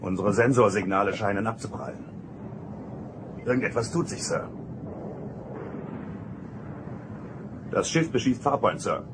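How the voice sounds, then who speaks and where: A man's voice: lowish voice, normal speed, very noisy, lightly acted. A man playing a dutiful, alert starship security officer in an audio drama, reporting formally and tersely. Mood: alarmed. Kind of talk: German science fiction audio drama acting.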